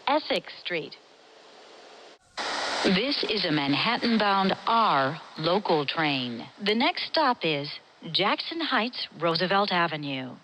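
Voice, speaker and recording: A woman reading scripted train announcements as a transit announcer, in measured, separate phrases. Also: fairly low pitch, sing-song, bright, forceful tone, slight background noise, rough recording.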